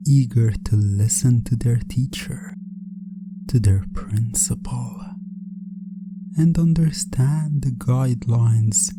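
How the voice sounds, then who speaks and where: A man speaking softly in a breathy, often whispered ASMR roleplay as a calm, controlling hypnotist teacher, deliberate and repetitive. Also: medium pitch. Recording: music bed under the voice. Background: music.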